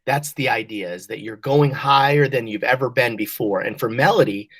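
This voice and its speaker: Low-voiced, encouraging woman teacher. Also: normal speed, slightly rough. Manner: encouraging, patient, addresses pupils with endearments. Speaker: teacher leading a class read-aloud. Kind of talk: online lesson talk, prompting and praising pupils. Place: online class video call. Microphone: video call.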